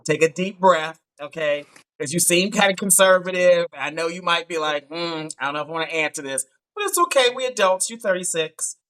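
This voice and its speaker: A man's voice: fairly high pitch, animated, fairly quick, fairly bright tone, smooth. A man hosting a live online dating show over a video call, teasing his guest and laughing often.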